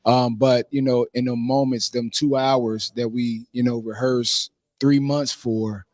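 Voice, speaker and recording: A man's voice: mid-range voice, level tone, a little slow, a little mellow, no room sound. A man being interviewed casually as a playwright and producer, talking informally and punctuating his thoughts with "man" and "you know".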